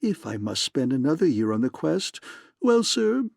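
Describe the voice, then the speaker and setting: A man voicing a jovial, complacent fat gentleman with a throaty purr. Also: medium pitch, sing-song, moderate pace, creaky, dry recording, clean studio sound. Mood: genial. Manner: throaty purring, genial, formal.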